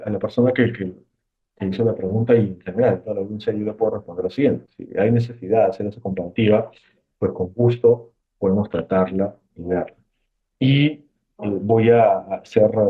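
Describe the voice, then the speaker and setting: A man's voice: fairly low pitch, husky. A man presenting a webinar, answering questions off the cuff in a careful way, often repeating and rephrasing himself. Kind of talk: unscripted webinar Q&A explanation. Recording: muffled, phone-like webinar audio.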